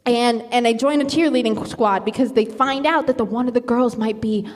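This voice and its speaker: Female, late teens, high-pitched, chatty and rambling improv storyteller, long hall reverb. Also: normal speed. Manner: rambling, conversational, peppered with "like" and "you know". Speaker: game show contestant. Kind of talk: improvised comic movie pitch, casual chat.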